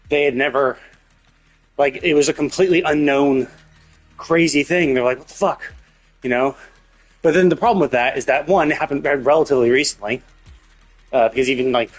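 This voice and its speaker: A man streaming a game with casual, rambling commentary and some swearing, explaining things as he thinks aloud. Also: medium pitch. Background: game music.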